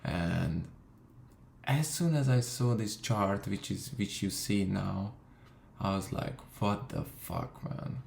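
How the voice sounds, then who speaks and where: A man's voice: deep voice, takes it slowly, creaky, darkish tone, slight background noise. A young man from Hungary tells his story in a casual, profane way, with lots of fillers. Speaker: vlogger. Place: room in London. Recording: echoey room.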